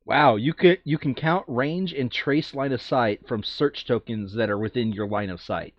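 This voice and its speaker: A man's voice: mid-range voice, normal speed, no room sound, lo-fi sound. A man chatting casually and thinking aloud while he plays a board game. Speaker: board game player on a playthrough video.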